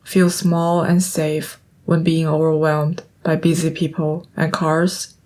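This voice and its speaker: A woman's voice: deep voice, level tone, slow, darkish tone. A young woman student narrating her own audio piece in a scripted, earnest, reflective way. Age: young adult.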